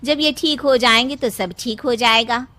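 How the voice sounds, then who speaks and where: A woman's voice: fairly high pitch, moderate pace, heavy background noise, lightly acted. A woman voicing a dutiful, determined young village daughter-in-law in a cartoon story, respectful and earnest.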